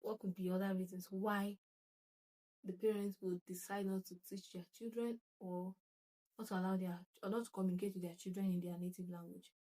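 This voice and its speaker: A young Nigerian woman with a Nigerian accent hosts her own channel and talks straight to her viewers. She reads comments aloud and thinks them through in a chatty, reflective way, often restarting her sentences and breaking into laughter. Her voice sits at an ordinary pitch for a woman. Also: flat delivery, brisk, mellow, rough recording. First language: Yoruba. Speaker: channel host. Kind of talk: casual talk to camera, reading comments.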